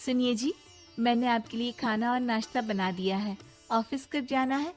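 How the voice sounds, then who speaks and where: A woman voicing a proud, educated young bride in a story, with an emotional, expressive delivery. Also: a little high, moderate pace, faint music behind, lightly acted. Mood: polite, surprised.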